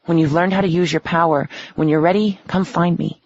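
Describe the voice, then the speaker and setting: A woman's voice: fairly low pitch, steady tone, a little fast, a little mellow, dry recording, muffled recording. A woman voicing a tender fallen angel. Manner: earnest, urgent, tender. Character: Nobu, young male fallen angel, tender and protective.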